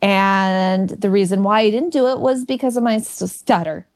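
A woman in her forties speaking as an interview guest. She stutters, repeating first sounds and blocking on words. She talks candidly with quick asides. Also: medium pitch, fairly even intonation. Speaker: comedian and author, guest. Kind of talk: interview conversation.

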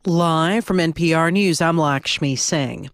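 A woman reading a radio newscast in a measured, formal way. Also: deep voice, no room sound, clean studio sound. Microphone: studio mic.

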